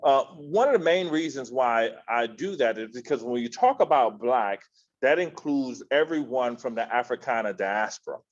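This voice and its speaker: A man's voice: mid-range voice, expressive, normal speed. A man from Miami with a slight Southern twang gives an informal, slangy motivational presentation, repeating phrases and addressing listeners directly, over dull lo-fi audio. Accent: American, slight Southern twang. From Miami, Florida. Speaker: keynote speaker, educator and author. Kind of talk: motivational presentation to an online audience.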